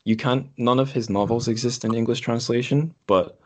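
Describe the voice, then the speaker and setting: A man's voice: medium pitch, steady tone, moderate pace. A man hosting a podcast in casual, unscripted chat, hesitant and correcting himself as he thinks aloud. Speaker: podcast host. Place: room in a home.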